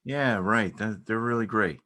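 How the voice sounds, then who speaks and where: A man in a dramatic reading, playing a gentle, awkward, anxiously supportive man who speaks hesitantly and with understatement. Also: fairly low pitch, a little slow. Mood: awkward, friendly. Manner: hesitant, repeats himself, understated. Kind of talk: dramatic reading of a stage play dialogue.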